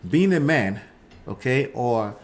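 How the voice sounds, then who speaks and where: A man's voice: medium pitch, lively intonation, slow pace. A man in his forties with a Nigerian accent hosts a live show, giving casual commentary and often checking in with short tags like "right" and "okay". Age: 40s.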